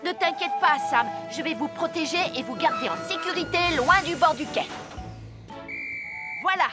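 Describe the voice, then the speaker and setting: Woman as high-pitched boastful little boy hero. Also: moderate pace, music in the background, lightly acted. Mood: grandly heroic. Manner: pompous mock-heroic declarations. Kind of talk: French cartoon dub.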